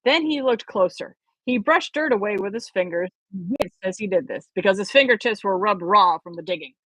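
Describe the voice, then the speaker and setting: Amateur female audiobook reader, mid-range pitch, expressive storytelling, very dry recording. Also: fairly quick, dry recording, poor audio. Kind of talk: expressive story read-aloud.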